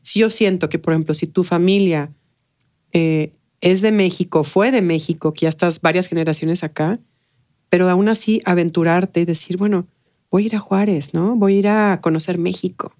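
A woman speaks Spanish with a Mexican accent in a relaxed interview conversation. Her voice sits a little low, and she talks expressively, with slangy phrasing and frequent tag questions. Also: normal speed. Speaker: interview guest.

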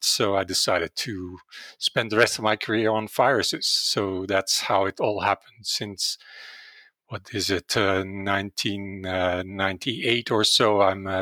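A man's voice: sits low, steady tone, unhurried, sharp tone, no room sound. A middle-aged man from the Netherlands with a Dutch accent, a veterinary professor explaining calf disease in a podcast interview, hesitant with many fillers. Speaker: veterinary virology professor, guest.

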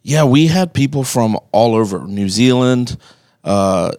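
A man's voice: mid-range voice, lively intonation, a little slow, darkish tone, dry recording, clean studio sound. A man from the UK co-hosting a podcast, chatting upbeat and often laughing. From United Kingdom. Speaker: podcast co-host. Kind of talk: casual conversation.

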